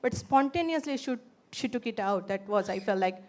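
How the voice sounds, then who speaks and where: A woman giving a heartfelt personal testimony as a pilgrim, telling her story in animated bursts. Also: medium pitch, normal speed. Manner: animated storytelling, quotes herself in lively bursts. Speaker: pilgrim sharing reflections.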